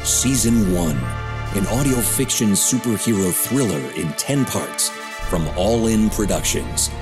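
A man's voice: on the low side, lively intonation, measured pace. A man reading a scripted trailer announcement for an audio fiction series. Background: music.

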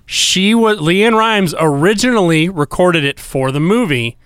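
Man, podcast co-host, smooth voice, dry close sound, brisk trivia-sharing. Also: high voice, lively intonation, a bit bright, dry recording. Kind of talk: casual movie review chat.

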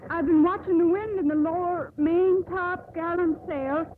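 Casting: captain's headstrong young daughter, sharp tongue, high voice, vintage radio sound. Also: female voice, steady tone, a little slow. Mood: brisk, inquisitive. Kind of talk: 1950s radio drama acting. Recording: muffled, hissy 1950s radio broadcast.

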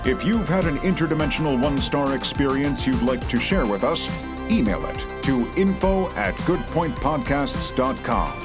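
A man's voice: medium pitch, expressive, moderate pace, over loud music. A man reading scripted podcast announcements and credits as the show's announcer.

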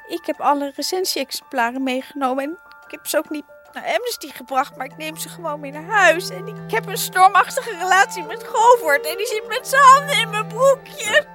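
An actress playing an officious, easily flustered woman editor-in-chief in a Dutch radio comedy, speaking in a formal, halting, bureaucratic way. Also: extremely high pitch, lively intonation, pushed and bright, faint music behind, exaggerated voice. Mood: flustered, confessing.

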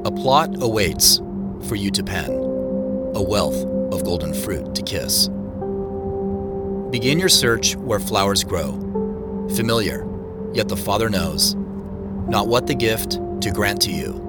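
A low male voice carries a first-person audio drama over clear background music. a thoughtful, slightly nervous man, speaking in a measured, reflective and intimate storytelling manner. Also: expressive. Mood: solemn reading. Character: Joseph, thoughtful, nervous man retracing family memories. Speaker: narrator and lead character. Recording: music under the voice.

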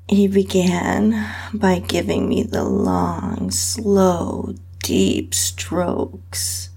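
Slow, breathy woman narrating intimately. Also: mid-range voice. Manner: breathy, sensual, drawn-out delivery with soft moans. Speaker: podcast host and narrator reading own story. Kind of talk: intimate first-person erotic story narration.

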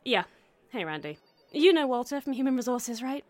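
An actress playing a chirpy, officious office manager in an audio comedy. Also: female voice, a little high, sing-song, normal speed, dry recording. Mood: brisk, officious. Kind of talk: audio drama comedy acting.

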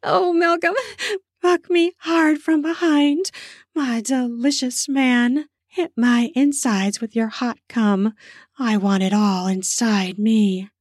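A woman voicing an eager young female lover, breathy and pleading. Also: fairly high pitch, fairly even intonation, slow pace, a little mellow, no echo, studio quality, voice pushed up. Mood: aroused.

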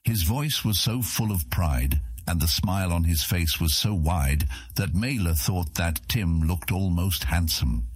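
A man's voice: deep voice, a little slow, hardly any pauses, slightly rough, dry recording. A man reading a fantasy audiobook as its scripted narrator.

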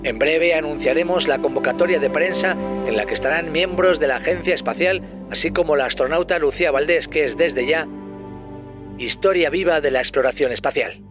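A man's voice: on the high side, brisk, music in the background, natural voice. An actor playing a space agency spokesman, giving a formal, precise official announcement in an audio drama.

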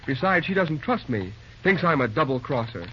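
An actor playing a brisk, self-assured young railroad assistant in a 1930s radio drama. The recording is a dull old radio transcription with faint hiss. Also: male voice, fairly high pitch, very animated. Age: young adult. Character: ambitious young male railroad assistant, cocky.

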